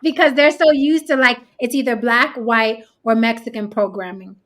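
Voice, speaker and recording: Bright, high-pitched woman host. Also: steady tone, moderate pace. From Puerto Rico. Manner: blunt, profane, emphatic. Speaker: show host. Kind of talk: casual podcast chat.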